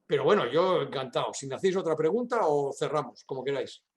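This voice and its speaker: A man's voice: fairly high pitch, expressive, fast, darkish tone. An older man from Catalonia with a Spain Spanish accent, a professor answering questions informally in a discursive, emphatic way, full of little question tags. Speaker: university professor and guest speaker.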